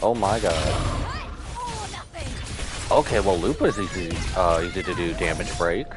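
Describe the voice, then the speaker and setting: A man's voice: deep voice, lively intonation, measured pace, over music. A man streaming a video game, giving casual live commentary and thinking aloud to himself.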